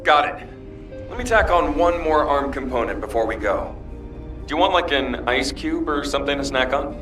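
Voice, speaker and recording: A man voicing a cocky, excitable young fighter in a video game, brash, playful and casual. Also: a little high, moderate pace, lightly acted. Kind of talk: scripted game dialogue. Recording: echoing, distant, loud music behind.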